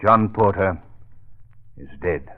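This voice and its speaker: An actor playing a resolute, composed investigator and hypnotist, measured and theatrical, in old-time radio drama. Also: male voice, low-pitched. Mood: grave, resigned. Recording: muffled vintage radio broadcast recording.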